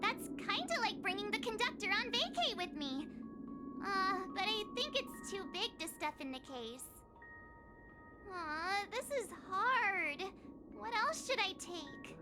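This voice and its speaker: An actress playing a perky, excitable young girl traveler in an anime game, bubbly and chatty, with loud music behind. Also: very high voice, a little slow, heavily acted. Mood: cheerful, fussing.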